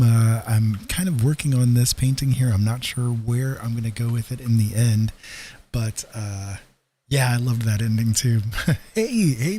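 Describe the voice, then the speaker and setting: A man's voice: medium pitch, mellow, a little hiss or hum. A man hosting a livestream with casual, hesitant, rambling chat. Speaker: livestream host.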